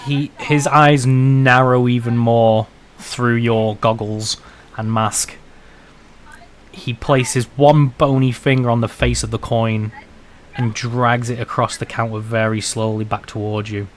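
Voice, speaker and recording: Game master narrating over faint noise. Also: male voice, medium pitch, flat delivery, takes it slowly. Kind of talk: improvised narration of a tabletop game.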